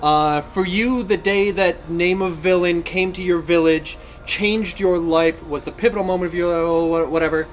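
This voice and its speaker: A man in his thirties chatting casually as a podcast co-host, halting and wandering off on tangents. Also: sits high, steady tone, faint music behind. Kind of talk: casual chat about games and films.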